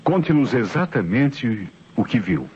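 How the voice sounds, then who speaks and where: Vintage radio mystery, male: skeptical Brazilian inspector, raspy, authoritative, probing, dull old recording. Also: medium pitch, sing-song, a little slow, a little mellow, heavily acted. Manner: probing, reasoning aloud, commanding.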